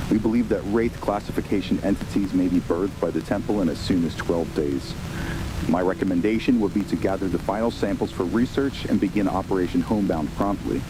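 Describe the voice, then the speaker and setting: An actor playing a formal scientist director giving a measured official report in an analog horror series. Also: male voice, close to an everyday voice. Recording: noisy recording with rumbling ambience.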